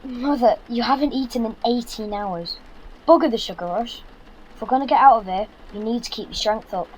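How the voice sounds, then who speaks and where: A man's voice: extremely high pitch, brisk, rough recording. A young man playing a sarcastic, exasperated fifteen-year-old son in an audio drama, with a Yorkshire accent and blunt delivery. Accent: Yorkshire English. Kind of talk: naturalistic audio drama acting.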